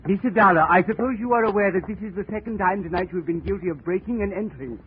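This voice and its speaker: An actor playing a smug, crazy murderer with a pompous, wordy, formal manner in 1940s radio drama. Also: male voice, sits high, quick pace, natural voice. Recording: tinny, hissy 1940s radio recording.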